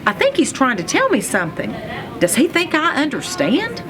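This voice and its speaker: A woman reading a children's storybook aloud in an expressive, animated storytelling manner, telling it as a first-person narrator. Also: sits high, normal speed, noisy background. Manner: animated storytelling with acted sound effects.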